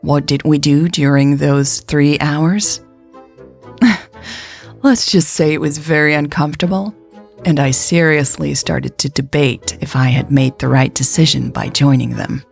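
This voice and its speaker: Low-pitched woman narrating, with music. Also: steady tone, a little slow, gravelly. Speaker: podcast storyteller. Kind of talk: scripted first-person narration of a romance story.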